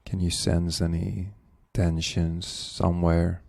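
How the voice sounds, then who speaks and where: A man leading a guided meditation as the guide, speaking gently and unhurriedly with long pauses. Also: sits low, level tone, creaky, no echo.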